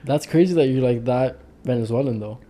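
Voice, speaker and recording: A man's voice: mid-range voice, brisk, dark tone. A man hosting a podcast, asking short, curious questions in a casual chat. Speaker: podcast host.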